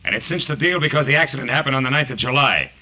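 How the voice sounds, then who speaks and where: An American actor playing a sardonic, hard-boiled private detective in 1940s radio noir, with clipped, wisecracking delivery. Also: male voice, mid-range voice, lively intonation, very fast, bright, forceful tone. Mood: commanding. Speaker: lead actor and narrator. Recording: noisy vintage 1940s radio recording.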